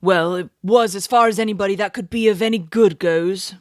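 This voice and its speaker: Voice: medium pitch, a little fast, no room sound, studio quality, natural voice. A performer voicing a garrulous, superstitious working-class London police constable in a dramatized audiobook, with colloquial, rambling storytelling. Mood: amused, recounting.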